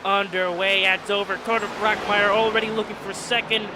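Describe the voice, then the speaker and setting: Man, race commentator, stuffy nose, high-pitched, excitable, car noise under the voice. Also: brisk. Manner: excitable, rises in pitch at restarts and wrecks. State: stuffy, a little sick. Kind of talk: sim racing play-by-play commentary.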